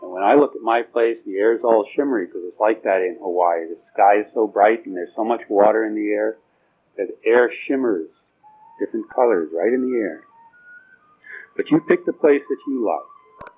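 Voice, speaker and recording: Low husky man guiding a meditation, muffled. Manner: soothing, permissive, informal phrasing with pauses. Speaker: guided meditation leader. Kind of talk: guided meditation, slow spoken instruction. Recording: dull, muffled lo-fi recording with music.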